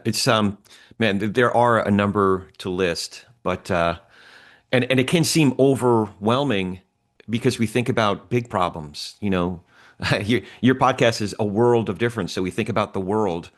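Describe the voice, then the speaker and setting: Deep-voiced male podcast host, relaxed. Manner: relaxed, halting, many fillers and restarts. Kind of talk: casual roundtable discussion.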